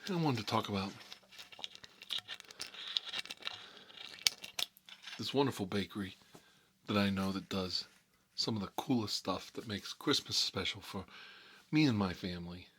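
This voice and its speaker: A man's voice: on the low side, expressive, brisk, noisy background, lightly acted. A man playing a nostalgic, folksy mob boss, telling rambling stories in a casual ASMR style. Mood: friendly. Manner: rambling, folksy, frequent "you know". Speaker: host of a character story series.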